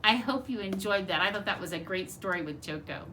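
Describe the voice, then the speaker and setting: A woman teacher reading a picture book aloud to young children in an expressive, simple, child-directed way. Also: mid-range voice, a little fast, slight background noise.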